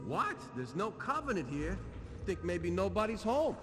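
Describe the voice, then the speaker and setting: An actor playing a jittery, wisecracking male marine in a video game, sarcastic and excitable. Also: sits high, moderate pace. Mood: wary. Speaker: soldier. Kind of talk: scripted video game dialogue. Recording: loud game music over the voice.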